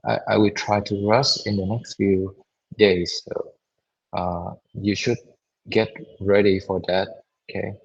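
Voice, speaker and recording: A hoarse man lecturing at an unhurried, drawn-out pace, stopping often to repeat himself and hunt for words. His voice sits low and gravelly with a rough, husky edge. The audio is dull and lo-fi. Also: little pitch movement. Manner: halting, with many repeats and fillers. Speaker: university lecturer. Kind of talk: online lecture.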